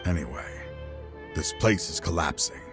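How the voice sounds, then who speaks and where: A man playing an ageing, remorseful official in a video game, speaking in a measured, solemn way. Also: deep voice, animated, over loud music. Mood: calm, relieved. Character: ageing, weary, remorseful male desert-order official facing death. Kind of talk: dramatic video game voice acting.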